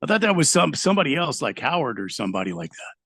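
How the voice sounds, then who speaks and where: Gravelly man chiming in on sports radio. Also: on the high side, sing-song, racing, fairly bright tone, no echo, clean studio sound. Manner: chimes in with short remarks, clears throat. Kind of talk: casual sports radio chat.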